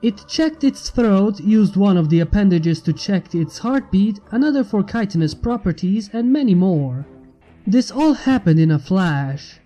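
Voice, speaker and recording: A man narrates a fan fiction chapter in a scripted reading style. His voice sits high for a man and is very mellow and dark-toned, with music playing underneath. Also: lively intonation, a little slow, muffled recording. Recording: music bed behind the narration.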